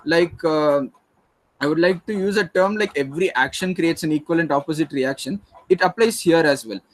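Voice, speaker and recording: Earnest young Indian man, halting. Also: a little high. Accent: Indian English. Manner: earnest, halting with many fillers and restarts. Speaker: debate participant. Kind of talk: group discussion argument.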